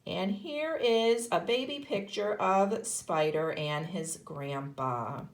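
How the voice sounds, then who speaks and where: A woman kindergarten teacher reading a picture book aloud expressively to young children, pausing to ask them questions. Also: mid-range voice, lively intonation. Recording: roomy, echoey sound.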